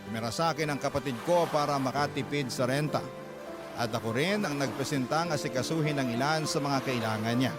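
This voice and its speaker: A man's voice: medium pitch, a little fast. A man with a Filipino accent, a radio host narrating a listener's letter in a dramatic storytelling style. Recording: music bed behind the voice. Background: background music.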